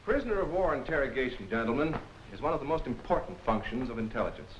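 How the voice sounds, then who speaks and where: A man playing a formal military intelligence lecturer in a 1940s training film, speaking deliberately and emphatically, phrase by phrase. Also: medium pitch, very animated, lightly acted. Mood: formal, emphatic. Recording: dull, hissy old film soundtrack.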